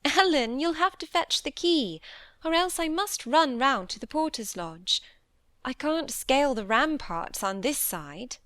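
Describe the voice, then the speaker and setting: Kurzbeschreibung: Frau spricht ein lebhaftes junges Mädchen, hohe Stimme, trockene Aufnahme, eifrig und flehend. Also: female voice, high-pitched, expressive, a little slow, a bit bright, no echo, pitched above the performer's own voice. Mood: pleading. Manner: eager, earnest pleading. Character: impulsive teenage girl, sweet and spirited.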